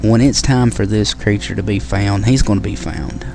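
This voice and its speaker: A man's voice: fairly low pitch, steady tone, moderate pace, gravelly, music in the background. A man hosting his own show, talking casually to listeners in a rambling way with many fillers and restarts. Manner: friendly sign-off to listeners. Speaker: podcast host. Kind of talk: casual solo show talk.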